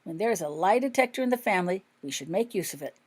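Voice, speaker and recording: A woman's voice: mid-range voice, animated, fast talker, husky. A woman voicing a persuasive, easygoing schoolboy in an audiobook, casual and matter-of-fact. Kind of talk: audiobook character dialogue.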